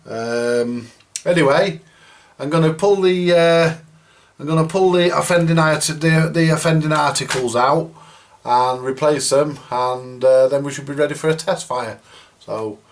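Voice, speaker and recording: A man giving casual, hands-on workshop commentary as host of a repair video, chatty and halting as he thinks aloud. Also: a little high.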